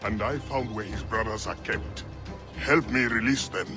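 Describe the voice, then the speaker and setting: A man voices a proud assassin leader from a video game. His voice sits low and he speaks formally, with measured, sardonic authority. Loud music plays behind him. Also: lightly acted. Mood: earnest, commanding. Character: proud, stern freedom-fighter assassin leader, dry-humoured. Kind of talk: dramatic video game acting.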